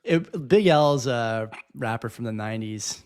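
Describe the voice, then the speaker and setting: A man's voice: medium pitch, a little slow, clean tone. A man co-hosting a podcast, giving rambling, opinionated commentary in a conversational style. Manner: rambling, opinionated, frequent "you know". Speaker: podcast co-host.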